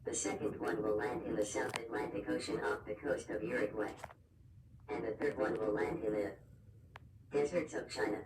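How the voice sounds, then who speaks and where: A roomy, distant recording carries a solemn male emergency announcer, played by a man. He reads a scripted alert formally and impersonally over clear background noise. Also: medium pitch, steady tone, normal speed, natural voice. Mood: grave, formal. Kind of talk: scripted emergency broadcast narration. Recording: echoey, distant, noisy alert broadcast audio.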